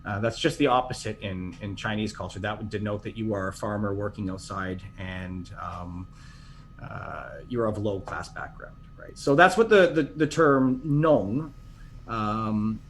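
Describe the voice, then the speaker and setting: A man's voice: lowish voice, measured pace, slight background noise. A middle-aged man, a podcast guest, talking casually and rambling through stories with many restarts and fillers. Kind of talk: casual interview chat.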